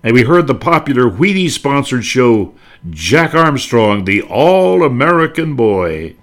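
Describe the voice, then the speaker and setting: A man's voice: mid-range voice, big pitch swings, measured pace. An older man presenting a nostalgic talk, reminiscing with theatrical emphasis. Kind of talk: nostalgic narrated talk on old radio.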